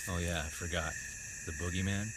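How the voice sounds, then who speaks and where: A man's voice: sits low, brisk, heavy background noise, lightly acted. An actor playing a teasing soldier on night watch who mocks his jumpy comrade. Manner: mocking, lively. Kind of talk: classic radio drama acting.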